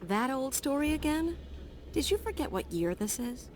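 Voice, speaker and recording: An actress playing a confident, skeptical young adventurer heroine in a video game, brisk and assertive. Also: female voice, high-pitched, sing-song, a little hiss or hum. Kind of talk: video game cutscene acting.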